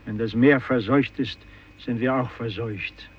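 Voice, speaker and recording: Stern fisherman, really slow firm delivery, muffled old radio play. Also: medium pitch, steady tone, slightly rough. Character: stern, practical fisherman taking command. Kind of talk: 1950s German radio play acting.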